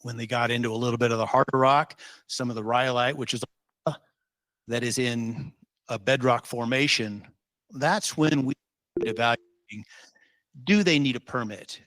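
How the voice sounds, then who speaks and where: A man's voice: medium pitch, sharp tone, no room sound, low-quality recording. A man from Utah, a state official leading a public meeting, talking informally with many ums and rambling asides.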